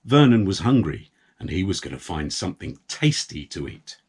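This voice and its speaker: A man narrating a story for young children, with deliberate, dramatic phrasing. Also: low voice, very animated, darkish tone, slightly rough.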